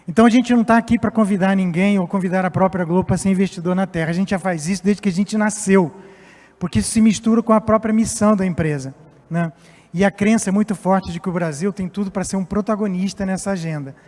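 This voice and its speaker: A man's voice: high voice, steady tone, fast talker, darkish tone. A man in his late fifties from Portugal, an executive giving a semi-formal, somewhat hesitant speech on stage in a reverberant hall with music behind. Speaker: company executive, guest speaker. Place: stage at a live event.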